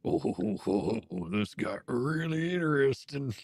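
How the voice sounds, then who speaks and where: A man's voice: mid-range voice, very animated, slow pace, darkish tone, no echo, studio quality, heavily acted. A man playing a cocky, flirtatious guy in a romance drama, drawling and teasing as he speaks. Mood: teasing, flirty.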